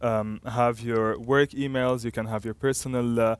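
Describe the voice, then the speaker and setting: Smooth, clear Lebanese-accented man. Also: mid-range voice, little pitch movement, moderate pace, no echo. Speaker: guest expert, runs men's lifestyle website. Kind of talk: interview answers.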